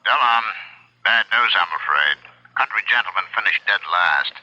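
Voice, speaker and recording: An actor playing a cool, apologetic bookie reporting racing results in a radio drama. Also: male voice, lowish voice, lively intonation, normal speed, background noise, lightly acted. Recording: muffled telephone-like radio sound over music.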